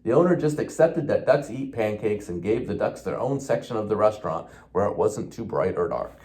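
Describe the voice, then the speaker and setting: A man reads a children's storybook aloud in a mellow, soft-edged voice. His intonation swings widely and expressively through the tale. He occasionally stumbles over a word and carries on. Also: lowish voice, expressive, brisk. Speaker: police officer reading a children's book.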